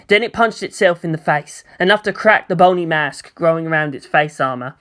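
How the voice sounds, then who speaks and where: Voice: mid-range voice, brisk, a bit bright. A narrator reading scripted fiction aloud in a dramatic storytelling style.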